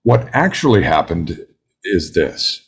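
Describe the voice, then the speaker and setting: Composed, methodical juror for a drama read, played by a man: lowish voice, precise and self-assured. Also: sing-song, breathy edge, exaggerated voice. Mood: confident, methodical. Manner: measured, precise, enumerating. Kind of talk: script table read.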